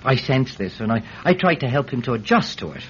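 A man's voice: mid-range voice, sing-song, brisk, faint music behind, lightly acted. A man playing a talkative, evasive Irish villager in a radio drama, hesitant and wheedling as he rambles. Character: talkative, evasive Irish villager testifying about his friend. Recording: dull, lo-fi radio recording.

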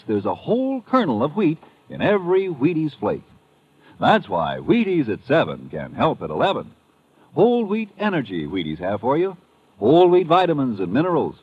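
A man delivering an emphatic, persuasive commercial pitch in 1950s radio style. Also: husky. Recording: muffled vintage radio recording.

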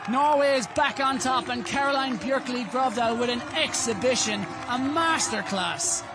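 A man commentates live on a race, his voice pitched very high and emphatic, building in repeated phrases. The outdoor broadcast is full of crowd roar and loud noise. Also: moderate pace. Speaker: sports commentator.

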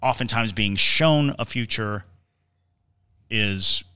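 Low voiced guy on a podcast, thinking out loud, halting and explanatory. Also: clean tone. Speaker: podcast host. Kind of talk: conversational podcast discussion of the paranormal.

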